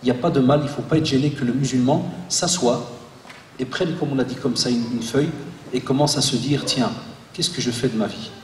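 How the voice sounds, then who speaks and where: A man in his forties, born in Belgium, giving a lively religious talk to an audience and joking with the crowd. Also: medium pitch, brisk, a little hiss or hum. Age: 40s. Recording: echoey hall, voice from far off.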